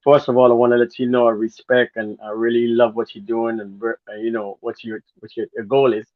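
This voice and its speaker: A man's voice: mid-range voice, flat delivery, fairly quick, no room sound. A man from Guyana with a Guyanese accent, a guest telling his story in an earnest, rambling way. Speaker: guest, former dancer. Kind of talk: casual interview talk. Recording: phone-like narrow sound.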